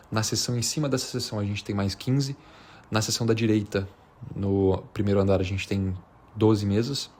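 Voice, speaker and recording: A man's voice: lowish voice, darkish tone, slight background noise. A man from Minas Gerais with a Brazilian accent, chatting casually and without a script, in a slangy, hesitant, rambling way. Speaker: vlogger. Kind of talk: unscripted casual chat to camera.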